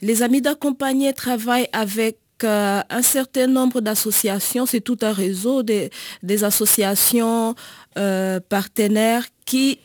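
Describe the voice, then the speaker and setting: A woman with a Congolese accent, an interview guest explaining her experience in a measured way with hesitant pauses. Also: fairly high pitch, steady tone, darkish tone, no echo, clean studio sound. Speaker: nun and volunteer, interview guest.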